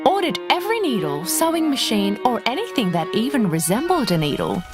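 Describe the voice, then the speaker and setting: A woman narrating a children's fairy tale from a script in an expressive, deliberate, dramatic storytelling manner. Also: on the high side, over music.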